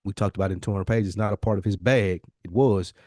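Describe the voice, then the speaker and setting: Blunt American man with a low voice. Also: fast talker, darkish tone, dry recording, studio quality. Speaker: podcast co-host. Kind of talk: casual discussion.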